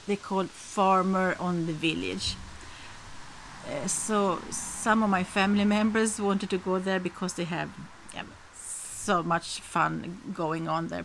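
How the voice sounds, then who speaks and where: A woman's voice: fairly low pitch, vocal fry, a little hiss or hum, poor audio. A middle-aged woman from Sweden with a Swedish accent chats casually to camera as a podcast host, often searching for words and rephrasing.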